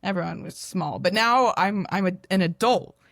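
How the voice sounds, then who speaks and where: A young woman who is a podcast guest, talking in a loud, animated, self-deprecating way. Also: medium pitch, normal speed, vocal fry, no room sound, studio quality. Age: young adult. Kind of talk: candid podcast conversation.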